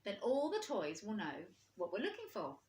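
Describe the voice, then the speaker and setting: Woman voicing a kindly, animated old teddy bear. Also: medium pitch, moderate pace, a little hiss or hum. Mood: kindly, encouraging. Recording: roomy, echoing indoor sound.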